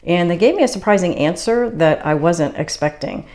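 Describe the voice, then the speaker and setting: Low-voiced woman calmly explaining. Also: moderate pace. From Boston area, USA. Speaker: spiritual channeler and video host. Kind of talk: casual explanatory monologue.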